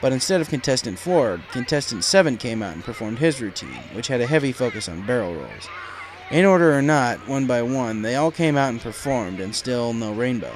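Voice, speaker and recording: A man's voice: medium pitch, expressive, brisk, a little hiss or hum. A man reading a story aloud as scripted audiobook narration. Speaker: audiobook reader of fan fiction.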